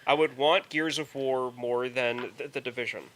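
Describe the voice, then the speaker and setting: A man co-hosting a podcast in casual chat, somewhat halting as he thinks aloud. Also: medium pitch, steady tone, a bit bright, a little hiss or hum, poor audio. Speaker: podcast co-host. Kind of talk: casual chat about movies and video games.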